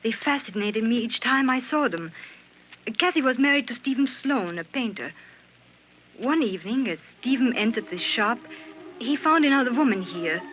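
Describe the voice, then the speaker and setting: Wistful dressmaker telling a sad tale, played by an actress, old radio. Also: female voice, a little high, animated, close to an everyday voice. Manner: measured, sorrowful, theatrical storytelling. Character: wistful dressmaker Marie, telling a tragic story. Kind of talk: 1940s radio acting. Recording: noisy, dull old 1940s radio recording.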